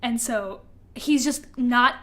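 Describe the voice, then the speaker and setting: Female co-host, highish voice, very informal podcast banter, swears freely, acts out dialogue. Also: slow pace, pushed and bright. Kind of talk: casual chat.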